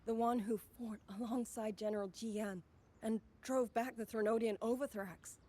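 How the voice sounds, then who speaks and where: An actress playing an earnest young woman soldier in a video game, speaking gravely and formally. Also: on the high side, fairly even intonation, warm tone, close to an everyday voice. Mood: surprised, wary. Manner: formal, grave, measured delivery. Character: earnest young woman soldier, last survivor of her squad. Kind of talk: dramatic video game voice acting. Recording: game music behind the voice.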